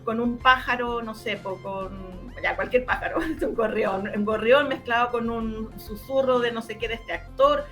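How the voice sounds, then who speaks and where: A woman's voice: on the high side, measured pace, few pauses, a bit bright, faint music behind. A woman with a Chilean accent giving casual interview answers, explaining eagerly and often repeating words as she searches for the next one. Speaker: interviewee, radio drama maker.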